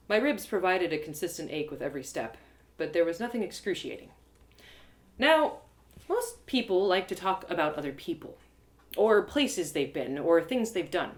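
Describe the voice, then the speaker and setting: A woman performing an animated, expressive first-person fantasy story as a dramatic narrator. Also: lowish voice. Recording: roomy home live reading.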